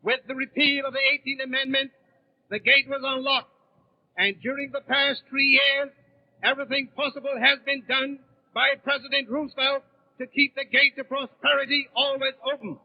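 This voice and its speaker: A man's voice: very high voice, level tone. A man giving a florid, formal, declamatory speech as a convention delegate on a 1930s radio recording. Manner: declamatory, measured phrases. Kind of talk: formal, florid political oratory. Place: large convention hall. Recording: echoey hall, dull 1930s radio recording.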